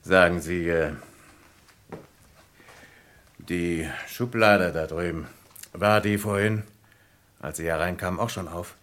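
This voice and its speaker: An actor playing a courteous, sceptical French police commissioner in a vintage German radio crime drama, questioning in a measured way with thoughtful hums. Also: male voice, low voice, fairly bright tone. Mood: courteous, inquisitive.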